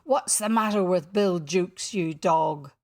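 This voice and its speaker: A woman's voice: lowish voice, lively intonation, takes it slowly, creaky, no echo, studio quality, exaggerated voice. Woman putting on a theatrical, menacing pirate captain. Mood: thundering anger. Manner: purring, steely threats and thundering outbursts. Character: villainous male pirate captain, menacing, mock-courteous, theatrical.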